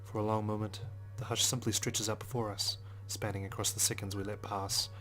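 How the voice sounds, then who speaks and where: Low, solemn brooding detective, read by a man. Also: little pitch movement, brisk, pushed and bright. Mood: somber. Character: brooding, guilt-ridden male police detective narrating inwardly. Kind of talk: first-person visual novel narration. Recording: music behind the voice.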